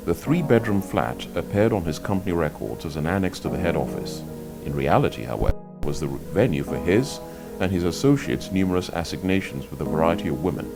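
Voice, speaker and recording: A man, a novelist, reading aloud from his own novel in a measured, deliberate way. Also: low voice.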